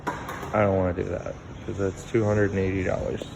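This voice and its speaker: A man's voice: low voice, completely flat delivery, normal speed, creaky, background noise. A man talking casually and plainly as he gives a hands-on tutorial as a car audio installer.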